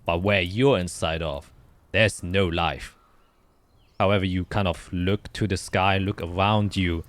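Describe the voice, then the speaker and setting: A man improvising narration as game master of a tabletop fantasy game, often pausing to search for his words. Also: deep voice, fairly even intonation, dry recording.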